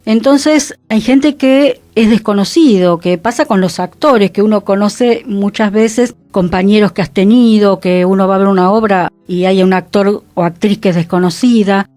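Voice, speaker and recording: A woman's voice: medium pitch, normal speed, few pauses, darkish tone, no echo. Argentine woman in a dry-sounding radio discussion. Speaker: radio show panelist. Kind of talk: reflective conversational discussion. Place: radio studio.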